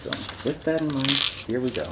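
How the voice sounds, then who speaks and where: A man hosting a children's story time, reading aloud with chatty, halting, informal asides. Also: medium pitch. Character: friendly costumed superhero storyteller. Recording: noticeable background noise.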